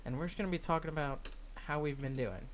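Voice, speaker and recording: A man's voice: a little high, fast, a little hiss or hum. A young man hosting a casual group podcast, joking and laughing often as he talks. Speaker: podcast host.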